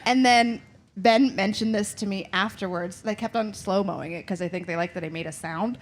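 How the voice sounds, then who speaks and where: A woman co-hosting a live podcast, chatting casually in an excitable, emphatic way. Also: mid-range voice, moderate pace. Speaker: podcast co-host. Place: live show in front of an audience. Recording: faint crowd and noise at live show.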